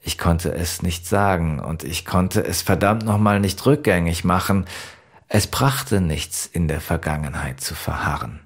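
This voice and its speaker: A man narrating a first-person story from a script in a measured, wistful way. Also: sits low, slightly rough. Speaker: audiobook narrator.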